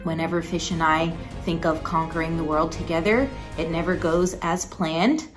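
Young woman, laid-back, joking, casual vlog talk, loud music behind. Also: sits low, steady tone, moderate pace. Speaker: pro soccer player. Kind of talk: casual vlog chat and narration.

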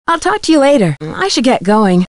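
Curious, high-voiced young detective. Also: female voice, big pitch swings, brisk, no room sound, clean studio sound. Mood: friendly, polite. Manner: inquisitive, articulate, friendly. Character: curious, polite young female amateur detective. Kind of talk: video game voice acting.

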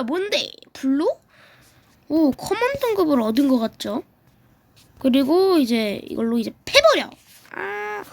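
A woman playing a video game and commenting casually, reacting aloud with quick exclamations while getting over a throat cold. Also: sits high, creaky, no echo. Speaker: gaming YouTuber.

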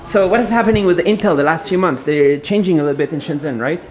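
A man's voice: fairly high pitch, fast talker, darkish tone. A man filming and interviewing on a casual walk around an office, asking short questions and echoing the answers back. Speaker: interviewer and camera operator. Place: open-plan office, indoors. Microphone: handheld camera mic, voice from behind camera. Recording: noisy office ambience, handheld camera sound.

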